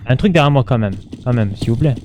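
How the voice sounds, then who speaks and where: A man's voice: mid-range voice, lively intonation, fast talker, a little mellow, soft background music. A man doing casual gameplay commentary in an informal, slangy way, muttering to himself and chatting to his viewers. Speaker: gaming streamer.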